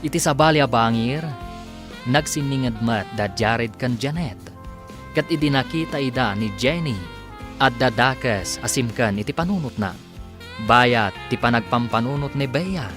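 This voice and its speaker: A man's voice: mid-range voice, expressive, unhurried. A man reading scripted narration as the announcer of a radio drama. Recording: narration over loud background music. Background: music.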